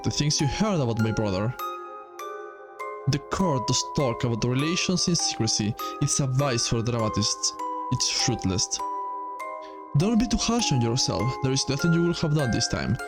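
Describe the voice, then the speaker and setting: A man reading visual novel dialogue as an enigmatic, formal keeper of a gothic manor laboratory, measured and solemn. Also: mid-range voice, natural voice.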